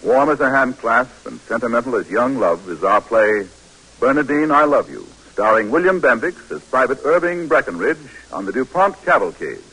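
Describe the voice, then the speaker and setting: A man's voice: medium pitch, expressive, moderate pace, bright, forceful tone, husky, poor audio. A man announcing formally and emphatically from a script, in the style of 1940s radio. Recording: old radio recording.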